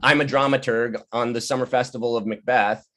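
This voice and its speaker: A man telling anecdotes casually on a video call, lively and quick to act out what other people said. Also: medium pitch. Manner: animated, laughing often, acting out quoted people. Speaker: playwright and director leading a virtual table read. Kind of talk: casual, anecdotal storytelling chat. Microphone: video call microphone.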